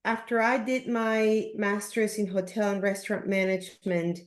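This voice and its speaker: A woman in her fifties from Peru with a Peruvian Spanish accent, speaking in a measured, earnest way as an interview guest. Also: mid-range voice, fairly even intonation. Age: 50s. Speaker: podcast guest, leadership coach. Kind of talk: reflective interview talk. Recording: roomy, echoing sound.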